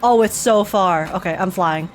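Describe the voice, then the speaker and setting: A young woman giving casual, slangy and expressive game reaction commentary as a streamer. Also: fairly low pitch, moderate pace, faint music behind.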